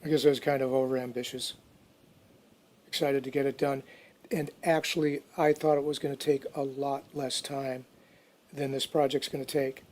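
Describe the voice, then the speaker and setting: Male DIY narrator, mid-pitched and very flat in intonation, informal and off the cuff. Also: normal speed. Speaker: DIY restoration video narrator. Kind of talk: casual how-to narration.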